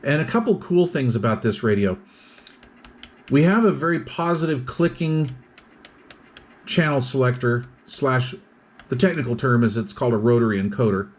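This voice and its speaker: A man's voice: medium pitch, expressive, moderate pace, pauses often. A man giving a casual hands-on product review, explaining things step by step.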